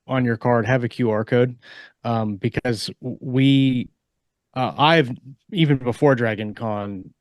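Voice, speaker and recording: A man co-hosting a casual author podcast, giving advice in a halting way as he repeats and rephrases his points. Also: mid-range voice, no echo.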